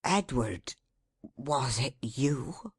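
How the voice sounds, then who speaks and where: A woman's voice: rumbling low, lively intonation, very slow, breathy edge, dry recording, clean studio sound, pitched below the performer's own voice, heavily acted. A woman voicing an honest, plain-spoken carrier in a storybook reading, grave and reproachful. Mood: shocked recognition. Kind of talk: dramatised classic storybook reading.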